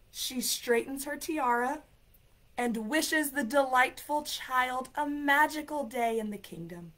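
A woman hosting a livestream, chatty and playful, her voice raspy from a cold. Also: high voice, bright, forceful tone, low-quality recording. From United States. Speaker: ESL program coordinator and show host. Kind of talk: livestream talk and storytelling. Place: decorated home room. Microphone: laptop webcam, video call framing.

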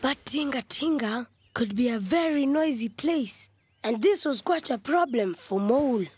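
A woman's voice: sits high, expressive, a little slow, a little mellow, breathy edge, dry recording, exaggerated voice. A woman voicing a playful, cheery monkey who tells stories in a children's cartoon. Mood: storytelling. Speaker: storyteller.

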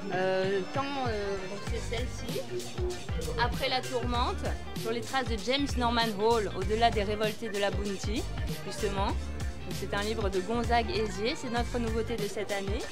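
Woman in her 40s chatting about her small press, slightly high voice, music playing behind. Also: normal speed. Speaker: publisher at a book fair stand. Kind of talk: casual interview answers. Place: small book fair booth. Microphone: interviewer's handheld mic.

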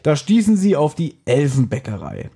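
Mellow man, slightly high voice. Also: big pitch swings, moderate pace, vocal fry, breathy edge. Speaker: podcast co-host. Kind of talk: casual podcast chat retelling an audio drama.

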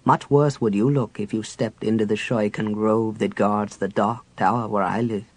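Reserved dark elf mage read by a man, low and hushed, dry close sound. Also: fairly even intonation, no room sound, lightly acted. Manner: low, quiet and measured.

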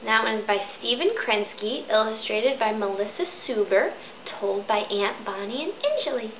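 A woman in her thirties reading a children's picture book aloud at home, lively and expressive. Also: moderate pace, slight background noise. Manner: lively, expressive read-aloud with high character voices. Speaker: storybook reader. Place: bedroom at home, indoors. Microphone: camera in front. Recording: echoey, phone-like thin sound.

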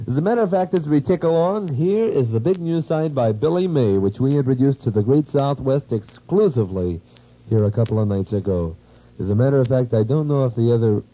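An American man working as a 1950s radio disc jockey, giving upbeat announcer patter with punched openings and catchphrases. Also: fairly low pitch. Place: radio studio. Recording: dull lo-fi 1950s radio aircheck.

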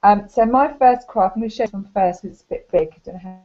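A woman's voice: medium pitch, quick pace. A woman in her thirties talking informally and modestly about homemade crafts on a video call from a room at home. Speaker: craft blogger. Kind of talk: casual craft show-and-tell. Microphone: webcam video call. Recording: dull lo-fi video call audio.

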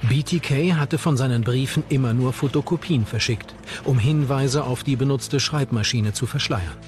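A man's voice: medium pitch, moderate pace, darkish tone. A man reading scripted true-crime documentary narration. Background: background music.

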